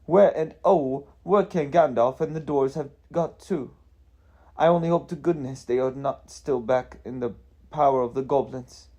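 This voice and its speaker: Man putting on a flustered, higher-pitched little hobbit. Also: normal speed, warm tone, lo-fi sound, natural voice. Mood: worried, bewildered. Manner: exclamatory, old-fashioned English phrasing. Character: small male hobbit, flustered and anxious.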